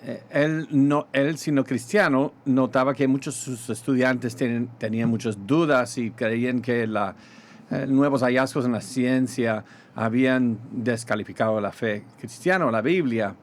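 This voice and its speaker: A middle-aged man speaks with an American accent softened by Mexican Spanish. He talks as a visiting pastor on a radio show, pausing with fillers and restarting phrases. His voice sits at an ordinary pitch over a faint hiss. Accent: American with Mexican Spanish touches. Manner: halting, many fillers and restarts. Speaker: pastor, ministry guest.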